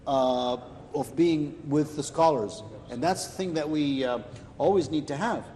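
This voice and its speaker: Mellow-voiced man hosting a studio panel. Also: fairly high pitch, long reverb tail. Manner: measured, slows to stress points, sprinkles Arabic phrases. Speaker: host of a live religious discussion program.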